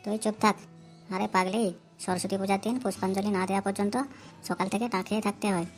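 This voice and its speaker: A woman's voice: medium pitch, level tone, quick pace, soft background music, close to an everyday voice. A woman voicing a cocky, bossy schoolboy with a brash manner in a comedy cartoon.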